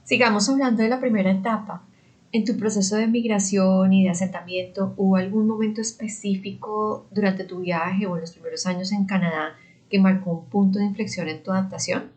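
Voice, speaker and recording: A woman hosting an interview podcast, asking questions in a measured, careful way and sharing her own experience. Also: mid-range voice, fairly even intonation, darkish tone, low-quality recording. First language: Spanish. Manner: measured, careful, uses fillers. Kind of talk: interview questions with personal anecdotes.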